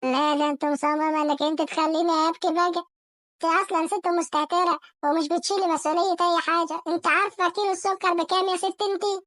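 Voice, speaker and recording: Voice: very high voice, level tone, fast, a bit bright, no room sound, clean studio sound. A performer voicing an angry, whiny, penny-pinching cartoon husband with an Egyptian accent, scolding and wailing in a comedy sketch. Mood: exasperated.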